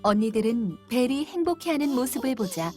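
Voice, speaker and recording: A woman narrating a children's fairytale in a clear, gentle read-aloud manner. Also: a little high, big pitch swings, fairly quick, soft background music. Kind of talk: scripted storybook narration.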